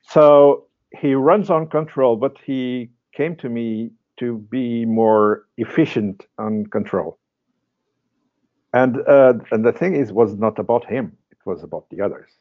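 A man whose first language is Portuguese, a psychotherapist speaking as a guest in a casual interview, halting with many fillers and restarts. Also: mid-range voice. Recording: dull lo-fi audio.

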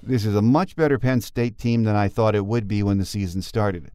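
Low-voiced American sports podcast host, a man. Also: fairly quick, warm tone. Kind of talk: scripted podcast narration and interviewing.

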